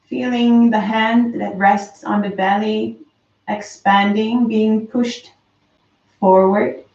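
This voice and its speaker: A woman slowly and softly guiding a breathing meditation, calm with long pauses. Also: medium pitch, gravelly. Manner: slow, soft, calm guidance with long pauses. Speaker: meditation teacher. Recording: roomy, distant, dull lo-fi sound.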